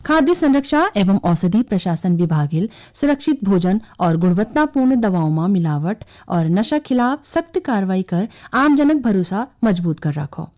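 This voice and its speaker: Kumaoni-accented woman newsreader, animated pitch. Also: moderate pace, hardly any pauses. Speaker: radio news reader. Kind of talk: scripted regional news bulletin reading.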